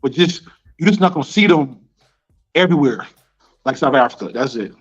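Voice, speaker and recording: A man's voice: mid-range voice, expressive, fairly quick. A young American man being interviewed, talking in a casual, slangy way and repeating himself often. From United States. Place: Nairobi. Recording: dull lo-fi sound with faint music.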